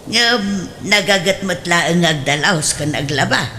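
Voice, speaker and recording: A woman's voice: fairly low pitch, lively intonation, measured pace, sharp tone, a little hiss or hum. A woman with an Ilocano accent playing an outspoken, meddling middle-aged aunt in a comedic radio drama. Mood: chiding.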